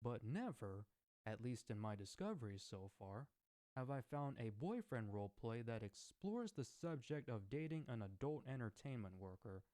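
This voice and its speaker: A man's voice: medium pitch, dark tone. A man hosting his own scripted discussion segment as a roleplay creator, speaking earnestly in formal wording.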